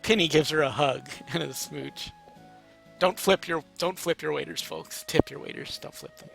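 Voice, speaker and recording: A man's voice: on the high side, moderate pace, creaky, a bit bright, faint music behind. A man narrating a story aloud in a casual, amateur, playful way. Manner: informal, playful.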